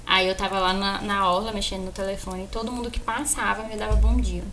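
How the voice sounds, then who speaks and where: A woman with a Brazilian accent, chatting casually as a podcast co-host and telling personal stories in an animated way, repeating words for emphasis. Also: medium pitch, quick pace, slight background noise, low-quality recording. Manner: animated storyteller who acts out exchanges and repeats words for emphasis. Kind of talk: casual conversation, personal storytelling.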